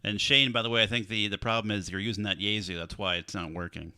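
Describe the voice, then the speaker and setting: A man hosting live ham radio contacts from his home radio shack, talking in a friendly, brisk operating manner into a processed studio microphone. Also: lowish voice, fairly even intonation, pushed and bright, smooth, no room sound. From Minnesota, US. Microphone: studio dynamic mic with processing.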